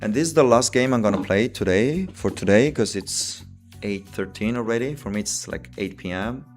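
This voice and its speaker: A man's voice: on the low side, lively intonation, moderate pace, faint music behind. A tired man with an Italian accent, Italian his first language, thinking aloud as he plays chess on a live stream, full of "you know" and "like". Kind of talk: casual live-stream chat while playing.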